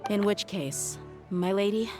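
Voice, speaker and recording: A woman playing a loyal, proud mercenary bodyguard in a video game, speaking frankly and deliberately over music. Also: mid-range voice, close to an everyday voice. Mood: resolute, earnest.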